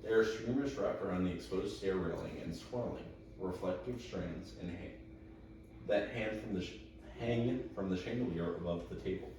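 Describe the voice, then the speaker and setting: A young man directing a script table read, talking casually in a halting, rambling way. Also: low voice. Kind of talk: casual explaining. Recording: roomy, echoey, distant mic with noise.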